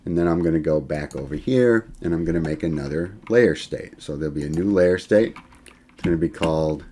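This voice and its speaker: A male college instructor giving a screen-recorded software lecture, talking in a relaxed way as he demonstrates and thinks aloud, with fillers and self-corrections. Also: deep voice. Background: keyboard typing.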